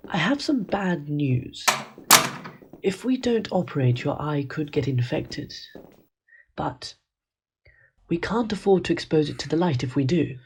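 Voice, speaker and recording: An actor voicing a grave ship's doctor in a radio play, speaking slowly in a breathy half-whisper. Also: mid-range voice, lively intonation, a little hiss or hum. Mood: hushed. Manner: slow, hushed, careful delivery. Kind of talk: amateur radio drama acting.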